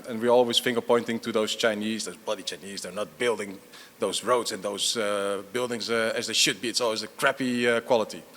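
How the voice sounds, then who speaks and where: A man's voice: medium pitch, a bit bright, big-room sound. A middle-aged man, half Dutch and half Portuguese, answering an audience question at a conference, rambling with many fillers and restarts. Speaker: conference speaker.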